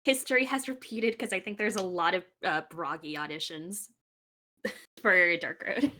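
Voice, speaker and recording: A woman's voice: on the low side, moderate pace, bright, forceful tone. A woman chatting casually as a panelist on a group podcast.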